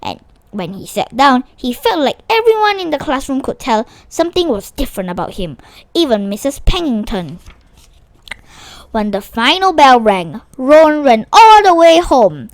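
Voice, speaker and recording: A woman narrates a children's story in a fairly high voice. Her delivery is lively and swings widely in pitch, with the odd small stumble as she reads. The sound is dry, with very little room around it. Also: no echo. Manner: animated, with small stumbles and restarts while reading. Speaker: storyteller reading a children's book aloud. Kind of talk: expressive read-aloud narration of a children's story.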